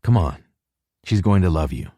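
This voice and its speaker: A man's voice: low-pitched, expressive, moderate pace, dark tone, no echo, studio quality. A man voicing a blunt but caring young college man who teases his girlfriend, in an audiobook. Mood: reassuring.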